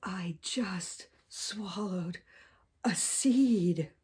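A woman voicing an excitable, melodramatic young crocodile from a children's picture book. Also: lowish voice, very slow, slight background noise. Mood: panicked. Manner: dramatic, exclamatory, melodramatic. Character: excitable, panicky young crocodile who loves watermelon.

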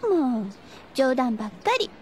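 An actress playing a sweet, innocent high school girl with a gentle, girlish manner in a Japanese audio drama. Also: sing-song, normal speed, slight background noise. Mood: mildly pouting.

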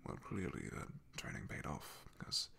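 A man playing a loyal, shy, soft-spoken knight in an ASMR fantasy roleplay, in a hushed, breathy, gravelly voice with a hesitant, halting manner. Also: slight background noise, lightly acted. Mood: awkward, hesitant.

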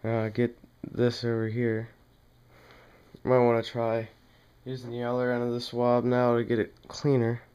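A man giving a casual hands-on tutorial at home, talking plainly and haltingly as he works. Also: mid-range voice, completely flat delivery, a little mellow, clean tone, dull audio. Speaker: demonstrator in a home how-to video. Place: small dim room indoors at home.

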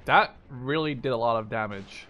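A man's voice: mid-range voice, moderate pace, sharp tone, a little hiss or hum. A man in his twenties chatting casually during gameplay, often swearing and exclaiming. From Canada. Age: 20s. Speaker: gamer, video creator. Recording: game gunfire and explosions behind. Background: war game gunfire, artillery.

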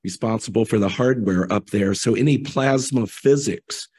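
A middle-aged man speaks at a lectern microphone, explaining engineering work in an easygoing, conversational way. His voice sits fairly low and sounds husky, hoarse from the tail end of a cold. He pauses with fillers as he goes through his slides. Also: a little mellow. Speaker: electrical engineer presenting. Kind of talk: technical conference talk.

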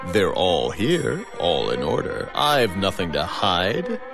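An actor playing a theatrical horror radio show host in an audio drama, speaking in drawn-out patter. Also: male voice, low-pitched, huge pitch swings, creaky, music in the background, full character voice. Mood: sinister. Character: smooth male horror-show host Bob, menacing then pleading.

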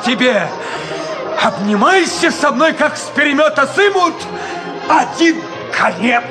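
A man's voice: very high voice, very animated, a little slow, music in the background, crowd noise, full character voice. Anguished peasant man, dramatic swooping delivery. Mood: desperate. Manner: anguished, broken, repetitive phrases. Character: despairing peasant man, tormented by guilt. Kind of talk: Soviet radio play acting. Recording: 1950s Soviet radio drama recording.

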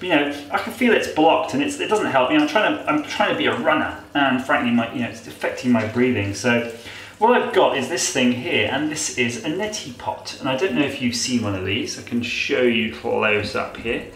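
A man's voice: mid-range voice, lively intonation, moderate pace, a bit bright. Nasal, chatty man in an echoey bathroom. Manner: chatty, self-mocking, rambling asides. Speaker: vlogger. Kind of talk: casual how-to demo. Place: small tiled bathroom. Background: running tap water.

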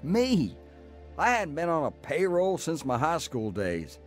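Hardboiled gambler-narrator for radio drama: world-weary, wry, clipped, lively lightish pitch. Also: male voice, a little slow, soft background music. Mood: wry recollection. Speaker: narrating protagonist. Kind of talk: first-person radio drama narration and acting.

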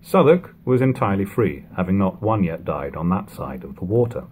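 A man in his thirties reading a classic novel aloud at home, in a measured, steady way, letting sentence ends fall quiet. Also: low voice, slight background noise. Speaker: audiobook reader. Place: living room at home.

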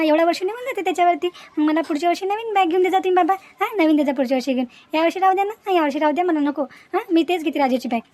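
A woman's voice: very high-pitched, darkish tone. A woman voicing a selfless, sensible young daughter of a poor family in a moral-story cartoon, earnest and pleading, with a Varhadi Marathi accent.

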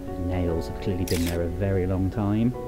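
A man's voice: low voice, steady tone, normal speed, over loud music. A man in his thirties touring derelict sheds, with wry, chatty commentary and voice-over. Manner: wry, chatty, marvels at decay. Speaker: urban explorer vlogger. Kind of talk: on-location exploring commentary and voice-over narration.

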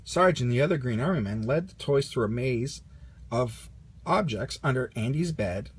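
A man reading a children's story aloud in an animated manner with a husky voice. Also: on the high side. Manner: animated, puts on character lines. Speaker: storybook reader.

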